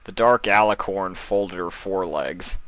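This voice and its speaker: A man's voice: deep voice, a little slow, fairly bright tone, no room sound. A man narrating a scripted story as its reader.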